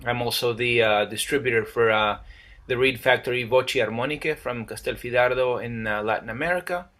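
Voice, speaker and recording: Male repairer from Israel, medium pitch, casual self-introduction. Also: fairly quick, fairly bright tone. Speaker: accordion repairer.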